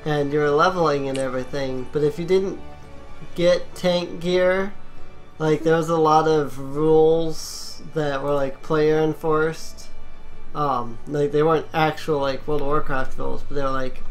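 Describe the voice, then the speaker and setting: Slow, rambling gamer over game music. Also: mid-range voice, low-quality recording. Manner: rambling, explains at length with anecdotes. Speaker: gaming video host. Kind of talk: casual gameplay chat.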